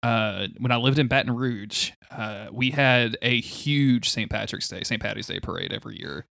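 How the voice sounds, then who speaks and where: A man co-hosts a casual podcast, recorded close and dry. His voice is bright and mid-pitched. He teases his co-host with mock outrage and plenty of swearing. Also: normal speed, vocal fry, no room sound. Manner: teasing and profane, mock-outraged. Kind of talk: casual comedic chat.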